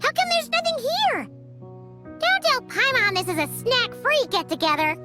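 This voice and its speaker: A woman voicing a tiny, excitable, childlike female companion with a squeaky voice in an anime video game. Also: normal speed, over music. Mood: excited, surprised. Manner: exclamatory, refers to herself by name.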